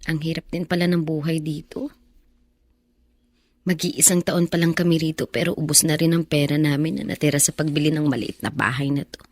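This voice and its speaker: Earnest young daughter, gentle, polite, slightly low voice, radio drama narration. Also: female voice, steady tone, fairly quick, dry recording. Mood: worried. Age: young adult.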